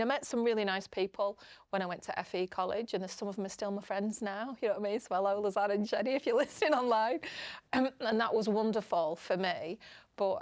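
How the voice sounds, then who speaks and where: A woman's voice: medium pitch, no room sound. A middle-aged woman with a Northern English accent, telling personal stories casually in a candid, self-deprecating way as a podcast guest. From northern England. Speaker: podcast guest, public speaker.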